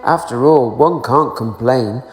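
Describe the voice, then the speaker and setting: A man voicing a gloomy, self-important donkey from a storybook, speechifying slowly and pompously. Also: medium pitch, reverberant, big-room sound, lightly acted. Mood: aggrieved, stern. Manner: pompous, slow, drawn-out speechifying.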